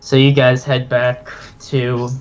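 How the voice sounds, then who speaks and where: A man's voice: mid-range voice, completely flat delivery, takes it slowly. A man casually narrating a tabletop game as game master. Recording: muffled, noisy home recording.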